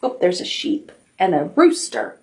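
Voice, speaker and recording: A woman's voice: fairly low pitch, huge pitch swings, unhurried, a little mellow, a little hiss or hum. A woman hosting a toddler storytime as a children's librarian, reading aloud expressively in simple child-directed language with little asides.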